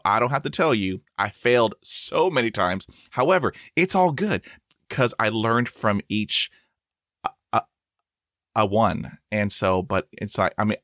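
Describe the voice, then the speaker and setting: A man in his forties with a stutter talks in a lowish voice, repeating sounds and leaning on fillers as he hosts a casual podcast conversation. He is emphatic and upbeat about himself. The sound is dry and close, with no room around it. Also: a bit bright, no room sound. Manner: emphatic, self-affirming. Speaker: podcast host. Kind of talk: casual interview chat.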